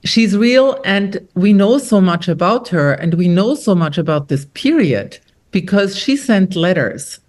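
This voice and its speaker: A middle-aged woman from Austria, an author talking about her novel in an interview, animated and quoting others as she tells stories. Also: fairly low pitch. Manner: animated storyteller, quotes others with lively shifts. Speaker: author guest. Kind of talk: conversational interview.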